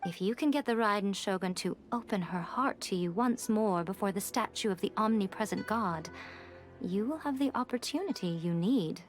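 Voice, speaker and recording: A woman voicing an elegant, teasing fox priestess in a video game, speaking in a measured, coy way. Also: mid-range voice, lively intonation, lightly acted. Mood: solemn. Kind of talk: video game character acting. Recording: music behind the voice.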